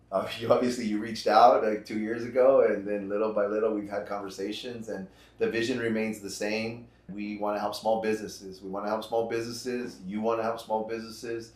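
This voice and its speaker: A man's voice: on the low side, a little mellow, echoey room. A fifty-year-old man, a finance broker and interview guest, talking in an earnest, heartfelt way and often repeating his points. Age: 50s. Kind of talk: casual interview chat.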